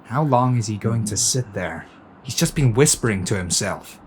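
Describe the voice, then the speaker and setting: A man's voice: on the low side, expressive, moderate pace, slight background noise, natural voice. An actor playing an uneasy, questioning male soldier in an audio drama.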